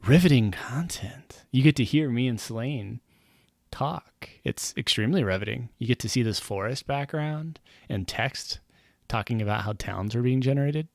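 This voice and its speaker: A man with a gravelly, fry-edged voice talks casually and rambles over a game stream, wry and self-mocking. His voice is close and dry, with no room around it. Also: medium pitch, lively intonation, moderate pace, dry recording.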